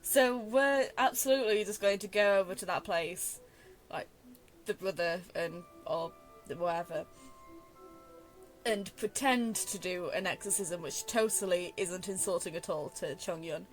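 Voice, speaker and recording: A woman's voice: on the low side, unhurried, soft background music, rough recording. Young woman rambling over a video game. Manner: rambling, thinking aloud. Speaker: gamer commenting on her playthrough. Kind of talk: casual let's play commentary.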